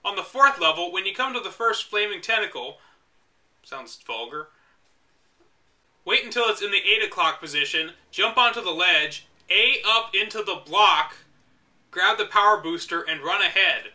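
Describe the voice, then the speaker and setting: Young male reader with a high, bright voice; sarcastic commentary between passages. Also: lively intonation, moderate pace. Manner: wry, exasperated asides. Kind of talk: reading a book aloud with sarcastic commentary.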